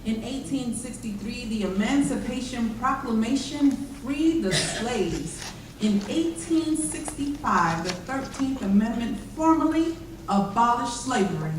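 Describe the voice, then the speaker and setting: A woman reading a historical narration aloud in a formal, measured way on stage. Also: fairly high pitch, animated. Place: church hall stage, recorded from afar. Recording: distant, very echoey hall, faint noise.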